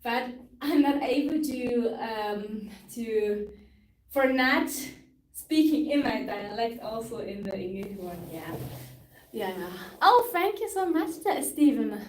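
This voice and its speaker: A woman's voice: on the high side, animated, slow pace, a little mellow. A young woman with a Tyrolean Austrian accent, a native German speaker, guiding a live stretching class and explaining each move as she demonstrates it, sometimes searching for English words. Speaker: stretching instructor. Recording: echoey room from far off, faint noise.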